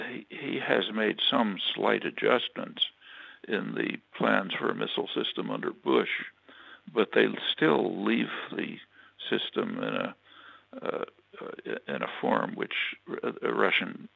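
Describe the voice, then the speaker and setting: A man giving a political analysis in an interview, talking in a halting way with many fillers and restarts. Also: fairly low pitch, creaky, no room sound, phone-quality sound. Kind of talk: measured spoken analysis, answering questions.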